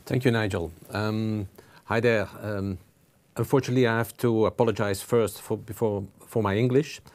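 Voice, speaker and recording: A man from Belgium with a Flemish accent, a native Flemish speaker, giving a webinar presentation slowly and carefully, with frequent pauses and fillers. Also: fairly low pitch, steady tone, a little mellow. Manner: slow, careful, halting with many fillers. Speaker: presenting dental researcher.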